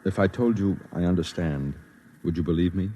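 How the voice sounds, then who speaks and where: A man's voice: low voice, normal speed, dark tone, dull audio, close to an everyday voice. A man acting a brooding, lonely newspaper reporter who tells his own story poetically and intensely in a 1950s radio drama. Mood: gentle, reassuring. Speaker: narrator and lead actor. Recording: noisy 1950s radio recording.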